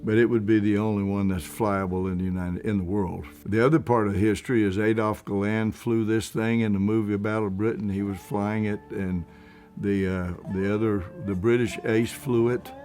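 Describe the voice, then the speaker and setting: A man giving an unscripted interview as an aircraft enthusiast, speaking earnestly and haltingly, repeating phrases for emphasis. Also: fairly low pitch, steady tone, a little mellow.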